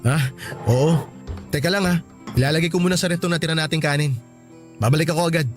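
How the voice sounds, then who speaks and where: Guy acting nice young husband in radio drama, slightly high voice, easygoing, faint music. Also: fast, close to an everyday voice. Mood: distracted. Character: mild, helpful young husband and new father.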